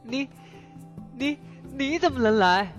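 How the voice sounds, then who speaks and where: A man's voice: very high voice, expressive, takes it slowly, full character voice. A man playing an earnest, good-natured young man in a Chinese ancient fantasy radio drama. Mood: amazed. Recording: roomy home recording under music. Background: background music.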